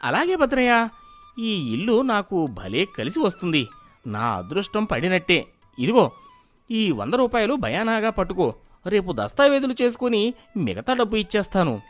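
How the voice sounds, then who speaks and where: A man voicing an excitable, gullible village merchant in a cartoon moral story, exclaiming in an exaggerated way. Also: sits high, normal speed, soft background music. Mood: eager, delighted.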